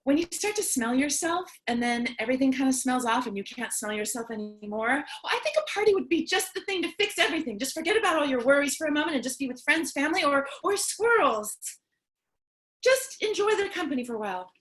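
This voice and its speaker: A lonely, chatty survivor of the apocalypse, played by a woman in a comic stage play. She is excitable and theatrical, with a high voice that swings widely in pitch. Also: fast. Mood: excited, chatty. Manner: theatrical, chatty, swings between outbursts and cheer.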